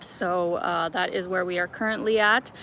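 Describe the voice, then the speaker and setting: A woman's voice: fairly low pitch, fairly even intonation, moderate pace, bright, forceful tone. A young woman hosting a travel live stream outdoors in a city park, chatty and laughing often as she greets viewers by name.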